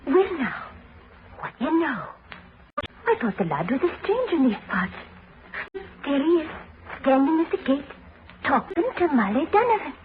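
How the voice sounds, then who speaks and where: A woman's voice: high-pitched, very animated, normal speed, long pauses. An actress playing a curious, insistent young village woman with an Irish accent in old-time radio drama style. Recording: old radio recording, dull, loud hiss.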